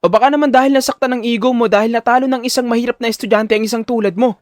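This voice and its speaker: A man voicing a determined, defiant male high school student in an audio drama, speaking firmly and formally. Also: steady tone, very fast, no echo, clean studio sound. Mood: defiant, challenging. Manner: firm, formal, respectful but challenging.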